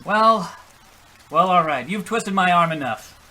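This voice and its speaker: A man's voice: high voice, animated, unhurried, sharp tone, full character voice. A man playing a gullible, defensive husband who rambles through his tall story with exasperation in an old-time radio comedy.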